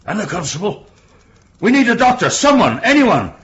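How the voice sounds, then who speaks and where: An actor playing an authoritative Victorian gentleman taking charge with urgency in a radio drama. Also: high-pitched, very animated, moderate pace. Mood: horrified. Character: commanding Victorian gentleman in charge, stern and shocked. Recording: dull lo-fi audio with noise.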